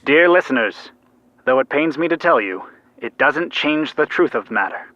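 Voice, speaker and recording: A man's voice: a little high, expressive, moderate pace, sharp tone, no room sound, highs cut off. A man acting an anxious young radio narrator and peacemaker in an audio drama, earnest and dramatic. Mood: dramatic, narrating.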